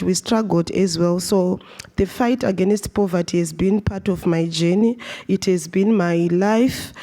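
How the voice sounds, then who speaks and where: Earnest woman from Zimbabwe, mellow voice. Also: mid-range voice. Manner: earnest, with frequent restarts and fillers. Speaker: speaker at a live storytelling event. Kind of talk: personal talk on stage. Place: stage at a live event.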